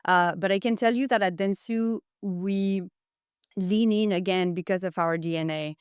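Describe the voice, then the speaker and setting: A woman's voice: mid-range voice, normal speed, clean tone, no echo, clean studio sound. A woman speaking as a podcast guest, giving enthusiastic, explanatory answers in a conversational interview style. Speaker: podcast guest, marketing executive.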